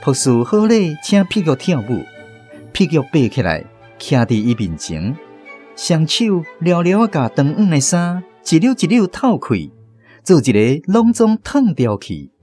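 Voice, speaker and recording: A man with a Taiwanese accent narrating a literary story in an expressive, dramatic reading. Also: fairly high pitch, measured pace. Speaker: narrator reading fiction aloud. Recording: music playing behind the voice. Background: music.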